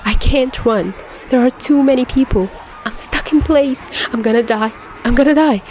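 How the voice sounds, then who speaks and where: A woman's voice: sits high, moderate pace, a little mellow, a little hiss or hum, pitched above the performer's own voice, close to an everyday voice. A woman voicing a panicky young commuter caught in a disaster, in an incredulous first-person monologue from a fan fiction story. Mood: terrified. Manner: incredulous, exclamatory inner monologue.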